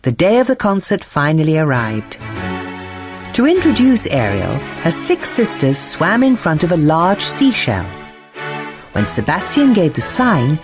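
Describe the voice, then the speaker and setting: Low-voiced woman telling a children's story, animated. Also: measured pace, music in the background. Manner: expressive, theatrical storytelling. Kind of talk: scripted children's bedtime story narration.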